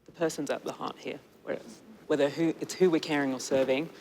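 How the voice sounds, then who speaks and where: A man moderates a panel on a conference stage, his fairly high voice halting now and then on fillers. A faint room ambience hangs behind him. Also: steady tone, creaky, darkish tone, slight background noise. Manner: hesitant, links ideas with fillers. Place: large conference hall.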